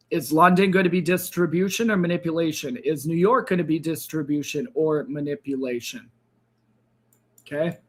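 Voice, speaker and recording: A man hosting a crypto trading livestream, speaking casually to viewers, hoarse and tired as his voice gives out. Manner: tired, low-energy sign-off with frequent fillers.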